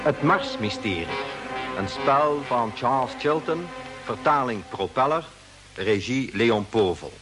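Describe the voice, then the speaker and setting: A man's voice: mid-range voice, lively intonation, unhurried, fairly bright tone, soft background music. A man announcing the titles of an old radio drama series in a scripted style.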